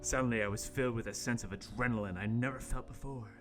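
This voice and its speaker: A man's voice: medium pitch, fairly even intonation, quick pace, over music, lightly acted. A man playing an earnest young intern, narrating an amateur radio play with overwrought seriousness. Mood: dramatic narration. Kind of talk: amateur radio-play table read, first-person narration.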